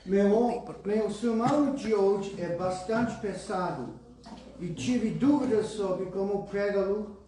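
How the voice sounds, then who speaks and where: A man preaching a sermon in Portuguese with a foreign accent, reading scripture slowly and deliberately with small restarts. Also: sits high, dark tone, a little hiss or hum. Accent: English-speaking foreigner speaking Portuguese. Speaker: pastor. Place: church hall. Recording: roomy church hall, voice from far off.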